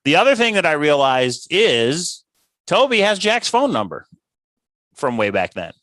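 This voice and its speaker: A man's voice: fairly high pitch, big pitch swings, unhurried, a bit bright, no room sound. A man co-hosting a livestream in casual discussion, reasoning aloud with questions and rephrasings. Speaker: livestream co-host.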